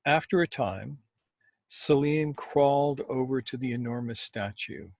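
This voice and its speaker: A man with a husky voice who speaks as a storyteller and host, in a deliberate, measured way. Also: mid-range voice, no room sound. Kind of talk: measured storytelling, then casual chat.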